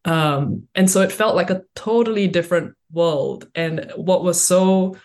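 A woman's voice: fairly low pitch, measured pace, darkish tone. A woman from Singapore speaking as a guest author, explaining things conversationally in an interview.

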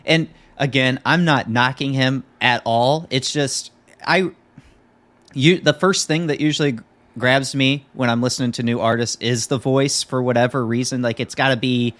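A man's voice: medium pitch, a bit bright. A man hosting a podcast in a casual, conversational chat style. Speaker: podcast host.

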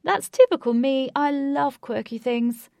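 A woman's voice: high-pitched, a little slow, vocal fry, darkish tone, dry recording, clean studio sound, voice pushed up. A woman voicing a brash, boastful young British man with a posh accent and gushing manner in an audiobook. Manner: gushing, exclamatory. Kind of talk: audiobook character voice.

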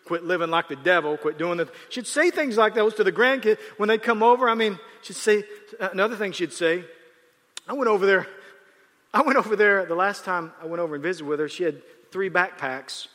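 Male pastor, fairly high, bright, forceful voice; rambling conversational sermon with long hall reverb. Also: brisk. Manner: rambling, self-correcting, laughs at times.

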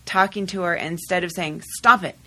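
A woman guest in a studio discussion, telling a story at a measured, thoughtful pace. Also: on the low side, fairly even intonation, bright, forceful tone. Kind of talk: casual podcast discussion. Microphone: studio microphone.

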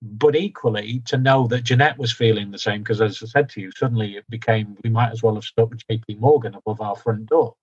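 A man from the UK talking candidly as a podcast guest, loud and direct, often mocking himself. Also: lowish voice, steady tone, fairly quick, hardly any pauses, slightly rough, highs cut off. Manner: loud, direct, self-deprecating. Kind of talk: candid conversational interview.

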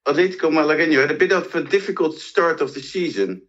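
A man's voice: a little high, expressive, fast talker, fairly bright tone. A man co-hosting a casual interview, asking questions in a jokey way. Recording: slightly dull, lo-fi audio.

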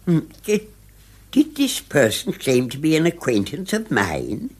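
Husky, fussy English gentleman. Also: a little high, huge pitch swings, unhurried, exaggerated voice. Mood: wary. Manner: hesitant, formal, stammering. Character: fussy, nervous, easily affronted provincial English gentleman. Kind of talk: classic British radio drama acting.

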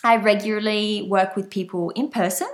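A woman's voice: mid-range voice, steady tone, normal speed. A woman with a light Australian accent hosting her own podcast as a leadership coach, speaking straight to the listener in an earnest, motivational way. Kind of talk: solo podcast monologue.

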